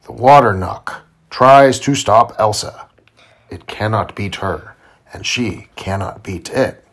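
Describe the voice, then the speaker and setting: A man reading a children's storybook aloud to his child in simple, deliberate phrasing. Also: lowish voice, a bit bright. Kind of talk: children's read-aloud narration.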